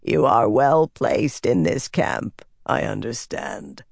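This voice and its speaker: An audiobook narrator voicing a menacing, commanding female servant of evil with a slow, rasping, growling hiss. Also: mid-range voice, expressive, no echo, clean studio sound, voice pushed down, exaggerated voice. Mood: impatient, commanding. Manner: slow, imperious, curt. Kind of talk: audiobook character voice.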